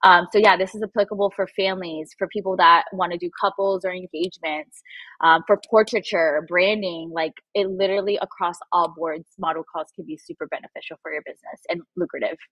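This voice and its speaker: A Spanish-speaking woman in her thirties from Puerto Rico, giving advice in a lively video-call interview through earbuds. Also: lowish voice, fairly quick, dry recording, low-quality recording. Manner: animated, acts out quoted voices. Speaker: guest photographer and coach. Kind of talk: casual livestream interview. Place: room at home. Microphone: wireless earbuds, video call.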